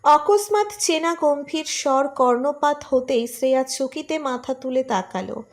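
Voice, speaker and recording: High-pitched woman narrating a romance story. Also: measured pace, soft background music.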